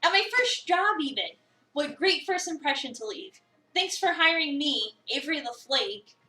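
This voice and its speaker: Animated, high-voiced woman reading aloud. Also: normal speed, distant mic. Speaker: streamer reading a visual novel aloud. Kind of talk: dramatic reading of a game script with casual chat asides. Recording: roomy echo, away from the mic.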